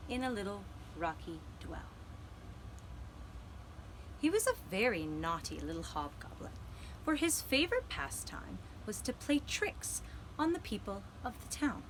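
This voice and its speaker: Slow, high-voiced woman telling a children's story. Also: slight background noise, rough recording. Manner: slow, deliberate, dramatic phrasing with pauses. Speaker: storyteller and puppeteer. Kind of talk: gentle children's storytelling for a puppet show.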